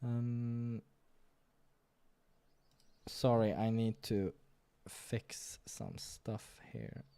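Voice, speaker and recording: A man's voice: mid-range voice, steady tone, drawn-out words, long pauses, mellow, clean tone. A man giving casual let's play commentary, muttering asides and interrupting himself as he goes. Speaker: game playthrough narrator. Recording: background music.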